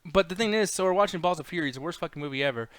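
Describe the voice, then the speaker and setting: Man, rapid casual storytelling, smooth clear tone, profane, rambling, acts out quotes. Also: high voice, a bit bright, no echo. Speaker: co-host of a movie discussion show. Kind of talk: casual chat, animated anecdote telling.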